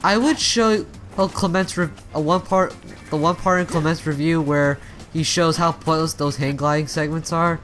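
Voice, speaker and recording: A man's voice: a little high, steady tone, moderate pace, fairly bright tone, soft background music. A man giving casual let's play commentary, rambling and often stumbling and rephrasing as he goes. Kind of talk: casual video game commentary.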